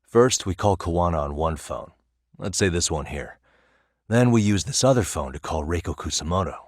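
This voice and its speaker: Video game private eye, thirties, deep register, level-headed, businesslike and determined, dry studio sound. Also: male voice, animated, normal speed, dry recording. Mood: focused, planning. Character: shrewd, level-headed male private detective in his thirties. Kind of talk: English video game voice acting.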